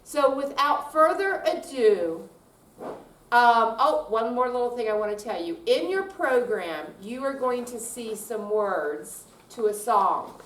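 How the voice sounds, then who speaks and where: Distant, high-voiced woman hosting in echoey room. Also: lively intonation, slow pace. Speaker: event host. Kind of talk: informal welcome speech to an audience. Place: indoor room at a senior center. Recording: roomy, distant mic, noisy hall.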